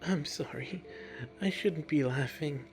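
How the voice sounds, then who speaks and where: Guy doing a bookish young lady from a story, high voice, friendly and full of curious questions. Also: takes it slowly, over music. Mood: warm, coaxing. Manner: thinks aloud in puzzled questions.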